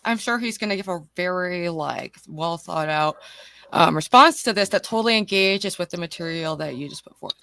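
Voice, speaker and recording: A woman's voice: lowish voice, normal speed, creaky, a bit bright, no room sound. A woman co-hosting a casual podcast, teasing and sarcastic. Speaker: co-host.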